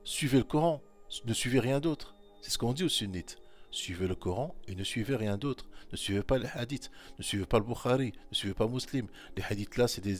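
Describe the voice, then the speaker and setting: A man giving informal commentary on scripture, repeating his points insistently and speaking directly to the listener. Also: medium pitch. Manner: insistent, repetitive exhortation; talks straight to the listener. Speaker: religious commentator.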